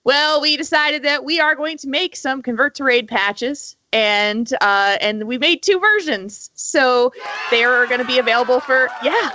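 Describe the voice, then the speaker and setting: Bright-voiced enthusiastic woman podcaster. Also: high-pitched, unhurried, slight background noise, rough recording. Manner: enthusiastic, informal. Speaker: podcast co-host. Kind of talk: casual gaming podcast chat.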